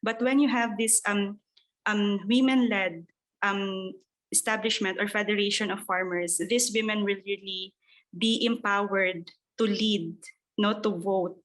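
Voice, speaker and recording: A woman's voice: medium pitch, steady tone, measured pace, low-quality recording. A young woman with a Filipino accent, a native Tagalog speaker, earnestly answering a question in a webinar and slipping Tagalog words into her English. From Philippines. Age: young adult. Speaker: speaker from an agriculture organization. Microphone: video call.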